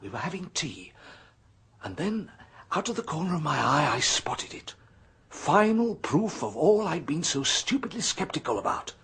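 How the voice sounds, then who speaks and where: An actor playing a scholarly male history professor in a radio drama, hesitant at first and then rising to hushed intensity. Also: a little high, very animated, normal speed, breathy edge, exaggerated voice. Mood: intense. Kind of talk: 1970s radio drama acting.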